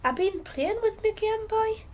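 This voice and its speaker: A woman's voice: extremely high pitch, expressive, unhurried, darkish tone, slight background noise. A woman playing an earnest, inquisitive little Geordie boy in a radio drama, speaking in a naive, wide-eyed way. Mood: curious, insistent. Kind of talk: radio drama monologue and dialogue.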